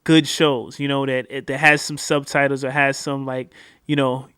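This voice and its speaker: A man's voice: medium pitch, flat delivery, moderate pace, pushed and bright, clean tone, no echo, clean studio sound. A man hosting a casual interview, laid-back, asking long rambling questions full of "you know" and "like". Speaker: host.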